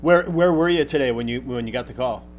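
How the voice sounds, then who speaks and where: A man's voice: medium pitch, brisk, a little hiss or hum. A man, a sports reporter asking informal questions in a loose, tentative way.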